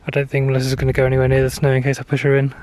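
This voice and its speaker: A man's voice: mid-range voice, darkish tone. A young man vlogging outdoors on a mountain trail, playful and teasing, with the camera held at arm's length. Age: young adult. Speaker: travel vlogger. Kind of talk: casual vlog talk to camera. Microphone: handheld camera at arm's length.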